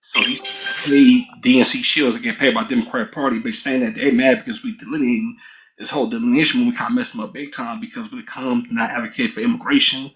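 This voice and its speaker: A middle-aged man makes his case in a casual online room, pressing hard and circling back over the same points. His voice sits at an ordinary pitch for a man. The audio comes through dull and lo-fi. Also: steady tone, fast, a bit bright, slight background noise. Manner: assertive, rambling, repetitive. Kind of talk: casual heated argument.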